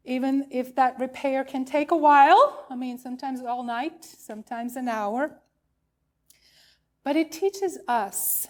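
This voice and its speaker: A woman preaching a sermon as a minister, telling stories and posing questions in deliberate, emphatic phrases. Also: sits high, a little mellow. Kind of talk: sermon with storytelling and rhetorical questions.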